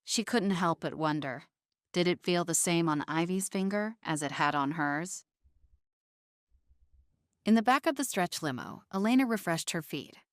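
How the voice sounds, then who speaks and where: A woman's voice: lowish voice, lively intonation, normal speed, no room sound, studio quality. A woman narrating a scripted drama story in a dramatic style.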